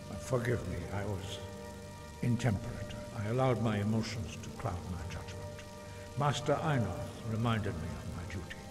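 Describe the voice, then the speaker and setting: An actor playing a stern, wise old monk master in a fantasy video game, speaking in a solemn, formal, measured and admonishing way. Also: male voice, medium pitch, close to an everyday voice. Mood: contrite, grave. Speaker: game character, mentor. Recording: echoey stone hall, music behind.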